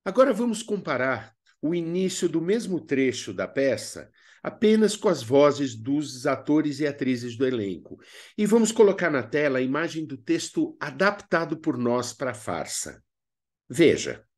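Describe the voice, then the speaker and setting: A man with a Brazilian accent giving scripted instructional narration as a drama teacher, theatrical and expressive. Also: a little high, moderate pace.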